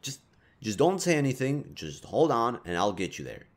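A man's voice: mid-range voice, big pitch swings, normal speed, natural voice. A man acting a kind, teasing young stranger who guides a lost girl, speaking casually to the listener in an intimate roleplay audio drama. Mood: playful, reassuring. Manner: casual, playfully teasing, mildly awkward, swearing.

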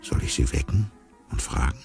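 An actor playing a bewildered amnesiac man who muses wryly and deliberately in a radio drama monologue. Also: rumbling low, wildly swooping pitch, creaky, a little mellow, soft background music, heavily acted. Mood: musing, bewildered. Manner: deliberate, musing, wry. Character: bewildered amnesiac man narrating his inner thoughts.

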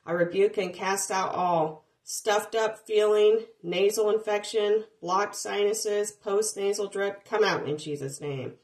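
A woman speaking a deliverance prayer, rebuking sickness in a steady, repetitive, commanding litany while reading from handwritten notes. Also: mid-range voice, measured pace, hardly any pauses. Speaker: deliverance minister.

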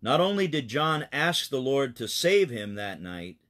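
A man reads a story for children in a medium-pitched voice. He sounds like a pastor explaining things simply, with deliberate phrasing and small clarifying asides. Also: big pitch swings, fairly bright tone.

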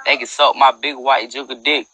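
A man's voice: medium pitch, flat delivery, normal speed, bright, forceful tone. A young man hosting a phone livestream at night, boasting in loose street slang and freestyling. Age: young adult. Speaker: livestream host. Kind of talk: casual livestream banter and freestyle rap. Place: dim room at night. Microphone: earbud headset mic on a phone.

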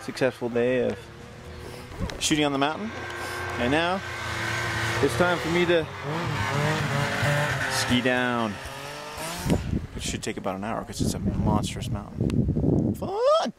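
A man's voice: medium pitch, wildly swooping pitch, takes it slowly, pauses often. A man vlogging his day as a photographer, chatting casually and joking at his own expense outdoors on a ski mountain, filmed with a handheld camera. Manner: joking, self-mocking, chatty. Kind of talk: casual behind-the-scenes vlog. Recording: loud outdoor noise behind voice.

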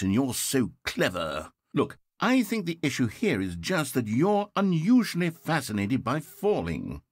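A man's voice: medium pitch, slightly rough, no echo, heavily acted. A man voicing a sardonic, fussy video game narrator, passive-aggressive and dry in manner, recorded in a dry studio. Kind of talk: scripted game voice-over.

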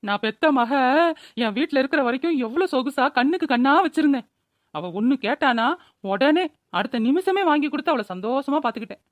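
A woman's voice: high voice, animated, brisk, no echo. A woman with a rural Tamil Nadu accent voicing a nagging but doting older village mother in a cartoon. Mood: scolding. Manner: nagging, colloquial, exclaiming. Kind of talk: Tamil cartoon voice-over acting.

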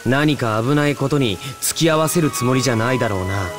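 A man's voice: mid-range voice, animated, fairly quick, music in the background, natural voice. A man playing a serious, curt, level-headed young man in an anime-style Japanese game. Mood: wary, resigned.

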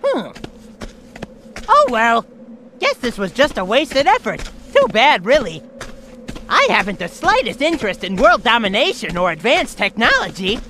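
Cocky young bird gang boss, smug, unhurried, swooping intonation, video game cutscene. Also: male voice, very high voice, wildly swooping pitch, bright, forceful tone, a little hiss or hum, heavily acted. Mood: dismissive. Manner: smug, flippant, self-assured.

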